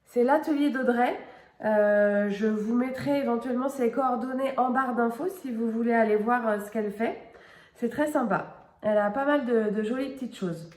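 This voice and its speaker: Brief: middle-aged woman vlogger, stuffy cold voice, slightly high, echoey room. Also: normal speed, a little mellow. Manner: chatty, many hesitations. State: has a cold. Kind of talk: casual home vlog narration. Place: home.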